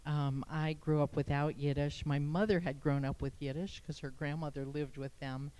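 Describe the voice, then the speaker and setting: Interviewer, man, slightly high voice, hesitant fillers, thoughtful. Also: level tone, normal speed, a little mellow, poor audio. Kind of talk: conversational interview question.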